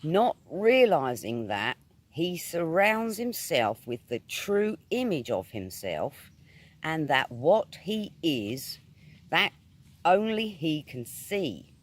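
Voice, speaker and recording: Slow, expressive British male reader. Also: on the high side. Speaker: reader of metaphysical essays. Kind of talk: scripted reading of a spiritual essay.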